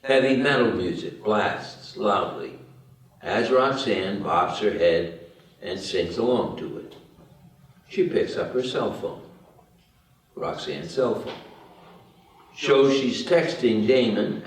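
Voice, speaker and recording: Slow male script narrator, very echoey room. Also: fairly low pitch, lively intonation, slight background noise. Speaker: stage directions narrator. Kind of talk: scripted narration at a table read. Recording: very echoey room, distant microphone.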